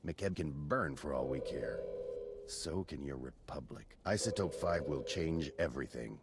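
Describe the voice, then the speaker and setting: Very deep ruthless mercenary villain. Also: male voice, unhurried, heavy background noise. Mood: scornful gloating. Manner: menacing, scornful. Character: ruthless, greedy male mercenary commander, menacing and sneering. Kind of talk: video game villain acting. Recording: loud music behind the voice. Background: loud game music.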